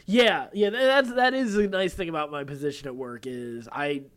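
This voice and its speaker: A man's voice: a little high, moderate pace, fairly bright tone. A man co-hosting a podcast in casual conversation, talking in a wordy, rambling way as he thinks aloud. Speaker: podcast co-host.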